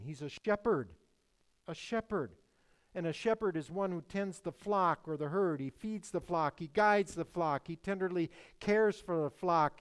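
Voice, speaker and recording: An older man preaching a sermon, stressing key words and repeating phrases, sometimes rising and then dropping to a hush. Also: a little high, clean tone, rough recording. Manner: emphatic, repeats key phrases, swings between loud and hushed. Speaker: pastor.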